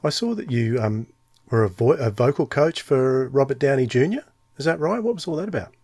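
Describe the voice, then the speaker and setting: A man's voice: mid-range voice, very animated, fairly quick, darkish tone, dry recording. A man casually putting questions to a guest as a podcast interviewer.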